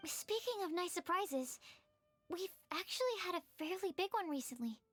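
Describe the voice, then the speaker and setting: An actress voicing a gentle, caring young researcher in a video game, with a mild, considerate and reassuring manner. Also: female voice, very high-pitched, lively intonation, moderate pace, soft background music. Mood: friendly. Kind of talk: English video game voice acting.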